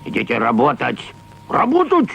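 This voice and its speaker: An actor voicing a greedy, bad-tempered rich landowner in an animated film, blustering and muttering. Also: male voice, medium pitch, moderate pace, gravelly, husky, lo-fi sound, heavily acted. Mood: bossy. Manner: blustering, exclaiming, muttering to himself. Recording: music behind an old cartoon soundtrack.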